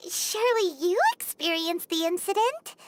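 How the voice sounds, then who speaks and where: Squeaky, childlike fairy girl. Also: normal speed, heavy background noise. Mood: earnest. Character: tiny childlike female fairy companion, excitable, pushy. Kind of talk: anime-style video game voice acting.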